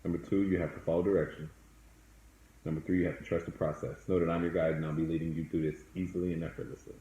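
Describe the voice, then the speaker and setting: A man with a low voice guides someone through a process in plain conversational steps. He is affirming and instructive as a coach and host. The room adds noticeable echo. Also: flat delivery, fast talker, warm tone, muffled recording. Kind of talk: conversational coaching, step-by-step guidance. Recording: echoey room.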